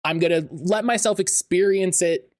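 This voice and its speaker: A man hosting a casual chat interview, talking in a halting, rambling way and often interrupting himself. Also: high-pitched, no room sound, studio quality. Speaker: podcast host.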